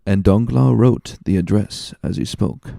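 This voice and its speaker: Male audiobook narrator, dark warm tone, lively intonation, dry recording. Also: fairly low pitch, a little slow, gravelly, dry recording.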